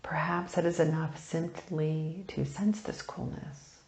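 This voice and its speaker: A woman leading a guided meditation, speaking softly and soothingly in unhurried phrases with long pauses, turning breathy at phrase ends. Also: sits low, little pitch movement, echoey room. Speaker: meditation teacher.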